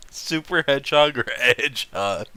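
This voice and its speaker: A man's voice: mid-range voice, big pitch swings, takes it slowly, sharp tone. A man casually reading fan fiction aloud and mocking it with sarcastic, sweary, amused commentary.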